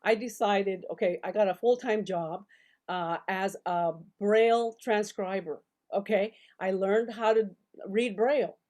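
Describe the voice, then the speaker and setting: A woman's voice: mid-range voice, measured pace. Chatty older woman on a video call. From El Paso, Texas. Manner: animated, chatty, many fillers and restarts. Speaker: guest, novelist and scholar. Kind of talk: casual storytelling interview. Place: home study with full bookshelves.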